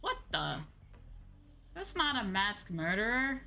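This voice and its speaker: A woman streams a game with casual, reactive commentary, often repeating phrases. Her voice is bright and animated at an unhurried pace, with faint music behind. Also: a little high, gravelly. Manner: repeats phrases, amused reactions, reads lines aloud. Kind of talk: casual live gameplay commentary.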